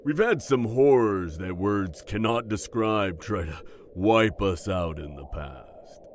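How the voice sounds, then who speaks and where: A man's voice: on the low side, lively intonation, slow pace, a little hiss or hum. A man playing a jovial, battle-seasoned dwarf king in a fantasy audio drama, speaking in a genial, regal manner. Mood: genial, explaining. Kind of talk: fantasy audio roleplay acting.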